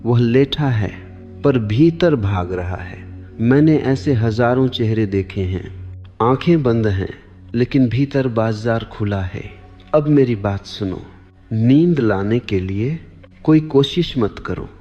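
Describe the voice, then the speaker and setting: A man giving a meditative spiritual discourse for sleep, deliberate, in short measured phrases that often repeat. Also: medium pitch, expressive. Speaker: spiritual teacher. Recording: long hall reverb, dull lo-fi sound. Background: clear background music.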